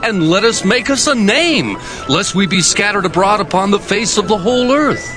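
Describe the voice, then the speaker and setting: An actress playing an ambitious, proud builder, declamatory and exhorting in a dramatized scripture reading. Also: female voice, mid-range voice, animated, normal speed, lightly acted. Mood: proud, rallying. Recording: music behind the voice.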